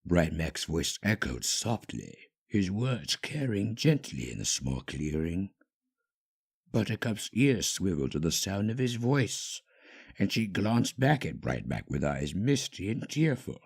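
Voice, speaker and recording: A man narrating a scripted story dramatically, with measured, hushed phrasing and pauses. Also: low voice, sing-song, creaky, husky.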